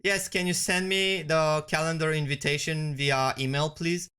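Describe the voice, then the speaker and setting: A man giving a conversational software walkthrough as a product demonstrator. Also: on the high side, steady tone, normal speed, clear, resonant tone.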